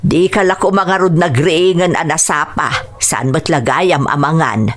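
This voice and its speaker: A woman's voice: low-pitched, unhurried. A woman playing a concerned older mother-in-law in an Ilocano radio drama, fussing expressively and with sympathy.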